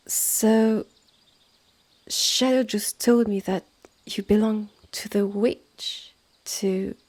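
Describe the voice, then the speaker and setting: A woman with a French accent playing a haughty, territorial witch's sister in a roleplay audio drama, talking in a measured, condescending way with breathy drops. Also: mid-range voice, darkish tone. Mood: intrigued, wry. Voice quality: husky, breathy. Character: haughty, territorial witch's sister running a secretive woodland hotel.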